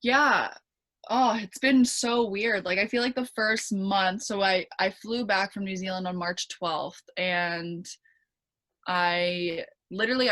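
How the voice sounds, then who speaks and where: A young woman with a Canadian accent talks casually as a podcast guest. Her voice is bright and clear-toned, and she sits in an ordinary mid range for a woman. She rambles with plenty of "like"s and quick restarts. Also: mid-range voice. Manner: chatty, many fillers and restarts, laughs easily. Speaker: guest, pro tennis player. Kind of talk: casual interview chat.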